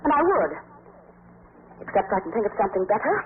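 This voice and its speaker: An actress playing a scheming, bitter young heiress in theatrical, sharp-tongued 1940s radio drama style. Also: female voice, mid-range voice, sing-song, quick pace, close to an everyday voice. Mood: vengeful. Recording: noisy, tinny 1940s radio recording.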